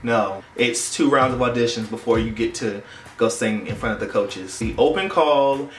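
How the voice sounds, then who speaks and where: The recording is echoey, with music behind the voice. A young man speaks at a middling pitch. He tells his story casually and dramatically, with playful, slangy turns of phrase, as a vlogger would. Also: normal speed. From United States. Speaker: YouTube vlogger. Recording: roomy echo, distant mic, music behind.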